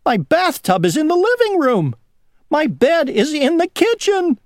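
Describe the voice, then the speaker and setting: A reader voicing a fussy, panicky little worm in a high, squeaky voice for a children's storybook. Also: huge pitch swings, slow pace, darkish tone, no room sound, studio quality. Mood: panicked. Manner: fussy, exclaiming.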